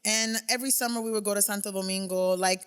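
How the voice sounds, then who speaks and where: A woman's voice: mid-range voice, steady tone, quick pace, smooth, in a large hall. A woman who hosts radio, talking casually in an interview, telling stories and acting out the voices of people she quotes. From South Florida. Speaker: radio host, podcast guest.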